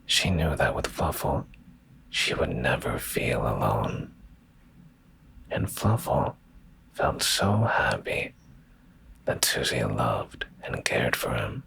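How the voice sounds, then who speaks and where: Very deep, gravelly man murmuring ASMR. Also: very animated, poor audio. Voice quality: very deep, gravelly, breathy near-whisper. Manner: soft, hushed, soothing, drawn-out delivery. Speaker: ASMR streamer and bedtime story reader. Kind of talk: ASMR, slow soft bedtime story reading. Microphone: close ASMR microphone.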